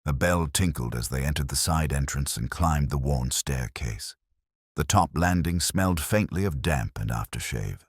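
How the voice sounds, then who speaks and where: A man reading a scripted mystery story as narrator, in a measured, literary storytelling manner. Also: extremely low pitch, animated, husky, no room sound, clean studio sound. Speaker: audiobook narrator.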